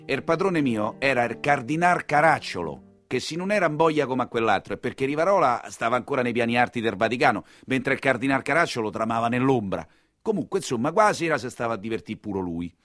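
A male stage actor narrates as an old-time coachman in a Roman accent. His delivery is colloquial and musing, full of asides, with highly varied intonation. The recording is dry, with faint music behind. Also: expressive, fast talker, a bit bright, no room sound. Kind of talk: theatrical storytelling monologue in dialect.

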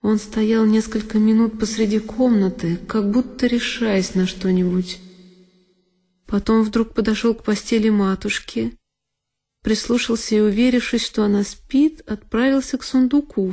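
An actress playing a frightened young girl who recalls a dreadful night in a measured, literary audio drama narration. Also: on the high side, fairly even intonation, warm tone, lightly acted. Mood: tense, watchful. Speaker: solo performer of an audio drama. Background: music behind the voice.

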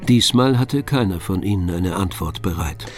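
A man's voice: deep voice, expressive, moderate pace, faint music behind. A man reading scripted narration for a radio drama.

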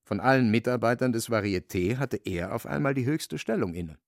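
A man's voice: medium pitch, animated, a little fast, gravelly, no room sound, studio quality. A man narrating a literary radio drama in a wry, dramatic storytelling manner.

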